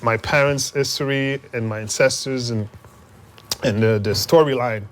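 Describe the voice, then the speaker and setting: A man's voice: mid-range voice, steady tone, normal speed. A young man from Montreal, a musician speaking loosely and conversationally in an interview, with plenty of fillers. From Montreal, Canada. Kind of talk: casual interview reflection.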